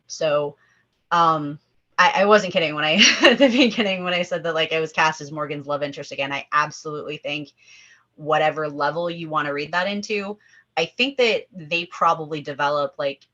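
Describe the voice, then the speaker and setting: Bright-voiced woman with a low pitch, emphatic. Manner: emphatic, opinionated, talking in numbered points. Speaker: table read performer. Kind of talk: casual panel discussion. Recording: dull, lo-fi audio.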